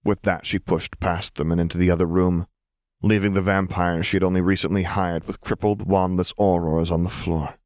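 Man, low pitch, scripted story narration, measured, dramatic, dry recording. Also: level tone, a little mellow, no room sound. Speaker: audiobook narrator.

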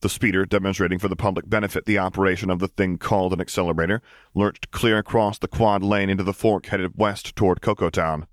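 A man with a low voice narrates a piece of fiction from a script. The recording is close and dry, with very little room sound. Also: steady tone, quick pace, dry recording. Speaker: audiobook narrator of fan fiction.

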